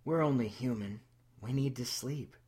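A man's voice: mid-range voice, moderate pace, a little mellow, husky, lightly acted. A man voicing a weary, caring older woman in a story reading, conversational and coaxing. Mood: gentle. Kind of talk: audio fan fiction reading.

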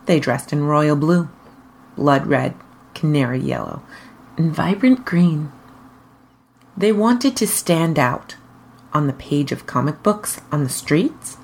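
A woman's voice: low voice, darkish tone. A woman reading a scripted story aloud as a fan fiction narrator. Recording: faint noise behind the voice.

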